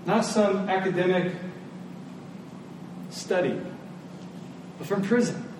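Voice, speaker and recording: Echoey, high-voiced man preaching a sermon. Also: slow. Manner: conversational, rhetorical questions to listeners. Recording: very echoey room, distant mic, faint noise.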